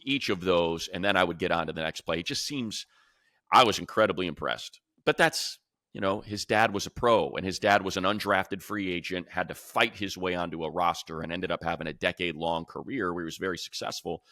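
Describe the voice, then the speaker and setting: A man's voice: sits low, fairly quick, bright, forceful tone, no echo, studio quality. A man hosting a sports show, talking casually and conversationally and often acting out what other people said. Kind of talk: casual sports talk and commentary.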